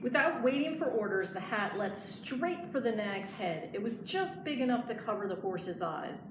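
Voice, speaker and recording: A woman librarian reading a picture book aloud in an expressive storytelling style. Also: medium pitch, normal speed. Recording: echoey room, clear background noise.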